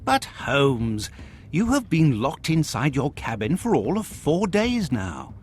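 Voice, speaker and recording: A long-suffering gentleman in a game cutscene. The voice is a little high for a man and highly animated. His delivery is formal, polite and earnest. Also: slow, slight background noise, heavily acted. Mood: exasperated, pleading. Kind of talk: video game cutscene acting.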